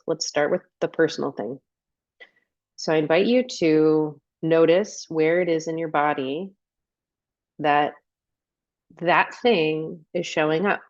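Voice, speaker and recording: A woman leading a guided meditation as a coach, giving gentle instructions and unhurried invitations with pauses. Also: low-pitched, dry recording, dull audio.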